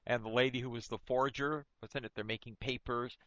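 A man with a bright, forceful voice moderates an informal book group. His phrasing is appreciative and often restarts mid-thought. The sound is very dry and a little lo-fi. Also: medium pitch, steady tone, fairly quick, no echo.

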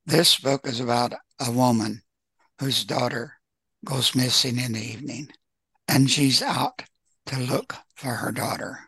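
Man, heavily creaky and rough, bright forceful tone, casual book-club guest host. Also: mid-range voice, steady tone, unhurried, no room sound, rough recording.

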